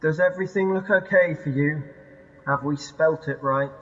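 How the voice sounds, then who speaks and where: A man's voice: a little high, normal speed, lightly acted. An actor in an audio drama playing an insistent, teasing man who prods like a nagging inner voice. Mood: probing. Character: needling male inner voice dredging up memories. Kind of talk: audio drama acting, poetic second-person narration. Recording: dull sound with long hall reverb.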